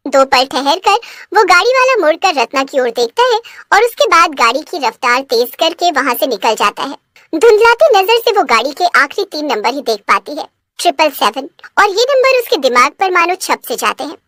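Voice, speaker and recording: A woman narrator with a high, lively voice tells a scripted cartoon tale. Her pitch moves freely, and the recording is dry with no room sound. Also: moderate pace, dry recording. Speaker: story narrator.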